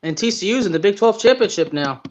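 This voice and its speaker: A man's voice: sits high, lively intonation, quick pace. A man co-hosting a sports podcast in casual chat, recorded with lo-fi sound. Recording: dull, lo-fi microphone sound.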